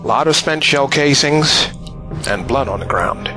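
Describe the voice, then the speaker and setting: A man acting a weary young soldier in an audio drama, sober and doubtful. He speaks slowly at an ordinary male pitch, with music behind. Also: lively intonation, lightly acted.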